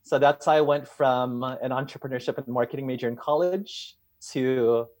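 A man who grew up in Hawaii, a teacher and guest, answering interview questions in a measured, friendly way. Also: mid-range voice, fairly even intonation, darkish tone.

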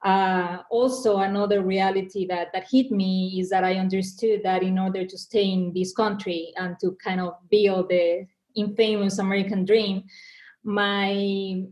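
A woman in her thirties with a Mexican accent, talking as a guest on a business podcast. She speaks earnestly at a middling pitch and often restarts her sentences. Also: level tone, measured pace, darkish tone, low-quality recording. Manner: earnest, lots of restarts and repeated words. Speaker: guest, marketing executive. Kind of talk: podcast interview.

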